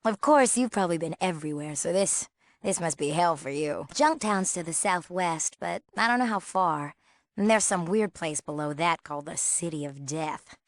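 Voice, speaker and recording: A woman's voice: lowish voice, expressive, normal speed, no room sound. A young woman with an American accent playing a bored, sassy small-town girl in a video game, casual and sardonic. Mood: friendly, restless. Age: young adult. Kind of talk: video game character acting.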